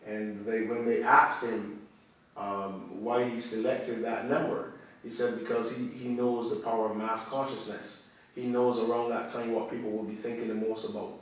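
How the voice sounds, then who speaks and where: A man's voice: medium pitch, little pitch movement, moderate pace, fairly bright tone. A man who is a religious teacher, speaking as a guest in a conversation in an emphatic way, repeating phrases for effect. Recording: very echoey room, far from mic.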